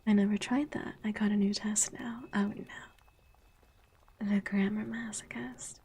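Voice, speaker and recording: Slow husky whispering woman. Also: medium pitch, little pitch movement. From United States. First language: Spanish. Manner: soft whispering, reading chat aloud, giggly. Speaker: VTuber streamer. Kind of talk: whispered ASMR stream chat.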